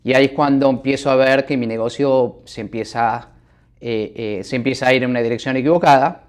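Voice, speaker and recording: A middle-aged man with an Argentine Spanish accent speaks in a calm, reflective way, often pausing on fillers as he thinks. His voice has an ordinary pitch for a man. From Buenos Aires, Argentina. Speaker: businessman, interview guest. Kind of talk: telling his life story. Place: office.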